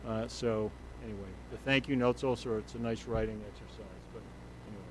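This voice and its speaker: A man's voice: on the low side, steady tone, brisk, noisy background. A man, a school superintendent, giving his monthly report at a board meeting with a big voice, in a loose, rambling way full of fillers and restarts. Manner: loose, rambling delivery with fillers and self-corrections.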